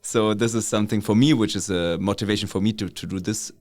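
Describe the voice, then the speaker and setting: A man speaking as a founder in an interview, casual and reflective, pausing mid-sentence as he explains. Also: fairly low pitch, fairly even intonation, darkish tone. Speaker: startup founder, podcast guest.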